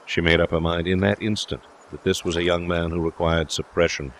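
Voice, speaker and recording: A man's voice: low voice, little pitch movement, a little fast, a little hiss or hum. A man reading scripted literary narration as an audiobook narrator.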